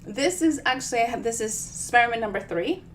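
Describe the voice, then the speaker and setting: A woman's voice: on the high side, lively intonation, fast talker, slight background noise. A woman from the Dominican Republic chats casually and playfully as an author hosting a livestream storytime, in a bubbly, informal way. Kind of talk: casual chatty livestream talk.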